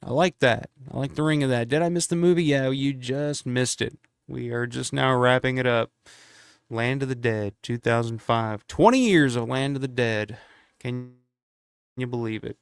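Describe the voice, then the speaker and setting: A man's voice: mid-range voice, moderate pace, creaky, smooth, no room sound. A congested man, starting to lose his voice, hosting a casual live stream and rambling with lots of fillers and restarts.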